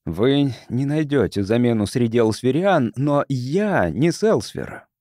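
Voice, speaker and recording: Man reading as an earnest, troubled visiting Earth scientist, mellow. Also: medium pitch, expressive, unhurried, dry recording, studio quality, lightly acted. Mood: insistent. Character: earnest male sociologist from Earth, insistent, troubled. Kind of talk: audiobook character dialogue.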